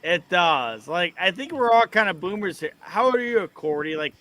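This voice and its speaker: A man hosting a casual group chat, excitably cutting in with questions. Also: sits high, moderate pace, noisy background. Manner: excitable, interrupts to ask questions. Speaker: host.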